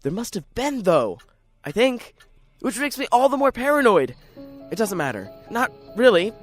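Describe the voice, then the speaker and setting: A man's voice: extremely high pitch, very animated, normal speed, faint music behind, pitched above the performer's own voice, heavily acted. A man playing a lonely, neurotic, paranoid writer in an introspective, theatrical radio drama monologue. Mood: paranoid, restless.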